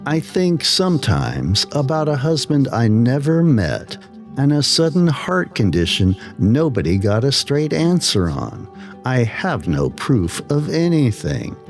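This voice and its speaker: A man narrating a scripted first-person horror story in a measured, deliberate way, with dramatic pauses. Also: mid-range voice, darkish tone.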